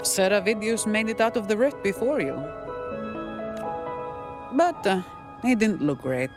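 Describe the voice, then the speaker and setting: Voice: medium pitch, very animated, a little slow. An actor voicing a casual, colourful ally giving a concerned report in a dramatic video game. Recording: music behind.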